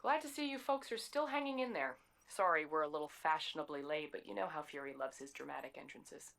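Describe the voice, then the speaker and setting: A woman playing a brisk, upbeat mission announcer in a video game. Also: low voice, gravelly, a bit bright. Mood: upbeat, determined.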